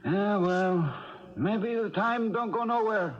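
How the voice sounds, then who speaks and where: A man's voice: sits high, takes it slowly. An actor in a radio drama playing a very old, wistful boxing gym owner, hesitant and musing as he drifts along. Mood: wistful, resigned. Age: older. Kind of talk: 1970s radio drama acting. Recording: muffled lo-fi old radio broadcast.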